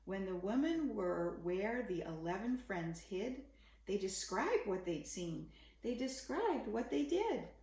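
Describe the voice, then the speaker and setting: Slow, echoey woman reading a children's story. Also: mid-range voice, big pitch swings, darkish tone. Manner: simple, dramatic storytelling aimed at a young child. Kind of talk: expressive read-aloud of a rhyming children's Bible story.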